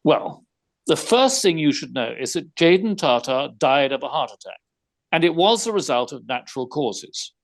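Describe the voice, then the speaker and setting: A man playing a shrewd, unruffled gentleman detective in an audio theater mystery, speaking precisely with formal, wry phrasing. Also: a little high, very animated, moderate pace. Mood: formal, assured.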